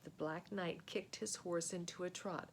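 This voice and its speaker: A woman reading a children's book aloud as a storyteller, in an expressive, dramatic way. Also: on the low side, unhurried, slight background noise. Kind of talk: animated children's book narration.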